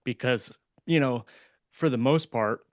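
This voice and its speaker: A man's voice: medium pitch, measured pace, no room sound, clean studio sound. A man co-hosting a podcast, casually explaining writing craft and repeating words as he thinks. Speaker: podcast co-host.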